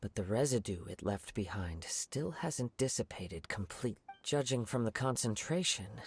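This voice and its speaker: A man voicing a calm, thoughtful young samurai in a video game, speaking softly in measured, formal phrases. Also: lowish voice, slightly rough. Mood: grave, alert. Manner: measured, contemplative, formal wording. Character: calm, thoughtful young male wandering samurai, polite and observant. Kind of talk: video game character acting.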